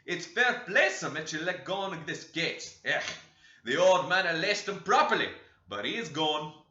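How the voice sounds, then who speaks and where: A man doing a croaking, preachy old servant. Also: on the high side, very animated, a little slow, sharp tone, pitched above the performer's own voice, exaggerated voice. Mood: scolding. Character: harsh old male servant, preachy, scolding. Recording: roomy dull lo-fi recording.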